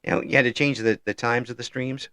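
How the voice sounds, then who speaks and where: An older man hosting an interview in an easygoing chat, often pausing with ums and restarting his sentences. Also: mid-range voice, flat delivery, bright, forceful tone, clear, resonant tone, no room sound, clean studio sound. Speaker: interview host.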